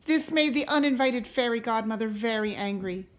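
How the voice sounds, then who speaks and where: A woman reading a storybook aloud to a class as a teacher, in a deliberate, expressive way. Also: a little high. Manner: deliberate and expressive, pausing to question listeners.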